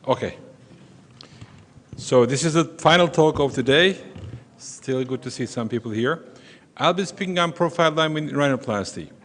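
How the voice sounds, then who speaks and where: Turkish-accented surgeon lecturing in a hall. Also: male voice, a little high, animated, normal speed, pauses often. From western Turkey. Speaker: surgeon giving a conference talk. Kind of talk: conference lecture. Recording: long reverb of a conference hall.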